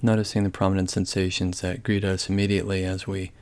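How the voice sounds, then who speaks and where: A man leading a guided body scan meditation in a calm, gentle, measured way, leaving long pauses. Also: deep voice, clean tone.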